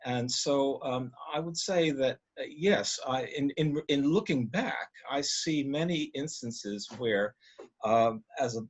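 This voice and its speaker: A man reflecting as a panelist on a video call, choosing his words carefully and hesitantly. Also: medium pitch. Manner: measured, hesitant, careful wording. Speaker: panelist, organist. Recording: dull, lo-fi video call audio.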